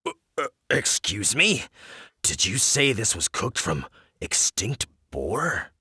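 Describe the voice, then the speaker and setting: An actor playing a grandiose, excitable food contest judge in a video game, speaking in an effusive, drawn-out way. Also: male voice, lowish voice, husky, no echo, studio quality, full character voice. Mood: astonished.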